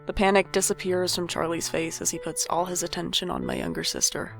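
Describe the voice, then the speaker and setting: A woman playing a wry, guarded but caring older sibling in an audio drama, with dry, deadpan delivery. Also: on the low side, brisk, vocal fry, soft background music, full character voice. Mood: wry, resigned. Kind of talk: audio drama narration and dialogue.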